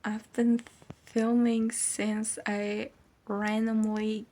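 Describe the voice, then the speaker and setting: Slow-talking young woman, casual vlogger. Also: fairly high pitch, little pitch movement, dark tone. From Poland. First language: Polish. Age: early 20s. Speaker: student vlogger. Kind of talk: casual chat.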